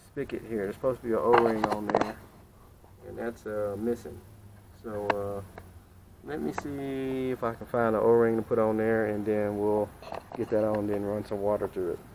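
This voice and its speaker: A man talking casually and folksily, thinking aloud as he works on a repair outdoors, on a camera mic at changing distance. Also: lowish voice. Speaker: DIY mechanic vlogger. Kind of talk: casual hands-on repair vlog. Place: outdoors on a residential driveway. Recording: noisy outdoor camera audio.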